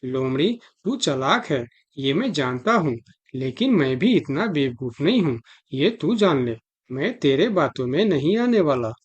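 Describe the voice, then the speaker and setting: A man's voice: a little high, sing-song, a little slow, breathy edge, highs cut off, full character voice. Man voicing a stern elephant jungle guard. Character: dutiful, suspicious male elephant guard of the jungle. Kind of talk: Hindi children's cartoon dialogue.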